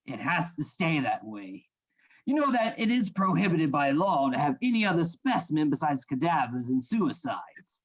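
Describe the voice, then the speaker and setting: An actor playing a stern, secretive anatomy lecturer in a radio play, formal and instructing. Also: male voice, a little high, very animated, normal speed, low-quality recording. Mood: businesslike.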